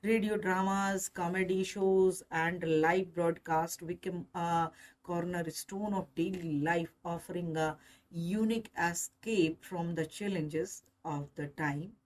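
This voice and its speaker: Slow, halting woman lecturer. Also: lowish voice, steady tone, low-quality recording. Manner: halting, deliberate phrasing. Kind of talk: scripted academic lecture read aloud.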